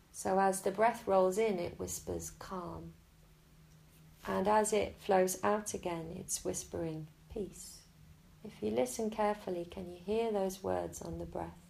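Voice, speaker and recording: A woman guiding a Yoga Nidra relaxation in a slow, soft and soothing way. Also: lowish voice, vocal fry, slight background noise, rough recording. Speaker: meditation guide.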